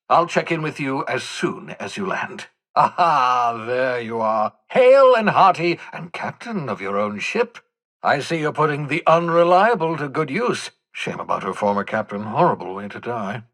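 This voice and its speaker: An actor playing an eccentric, jittery older fugitive scientist in a video game, speaking in a theatrical, wordy, wry way with hesitant asides. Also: male voice, on the high side, pushed and bright, heavily acted. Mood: fussy, wry. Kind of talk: video game character dialogue.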